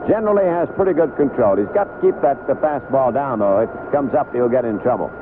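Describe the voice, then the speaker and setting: A male baseball announcer gives live play-by-play at a medium pitch, in short conversational phrases with pauses between them. The sound is a thin, band-limited old AM radio broadcast, with plenty of noise around the voice. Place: broadcast booth at a baseball stadium. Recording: noisy lo-fi 1970s AM radio broadcast.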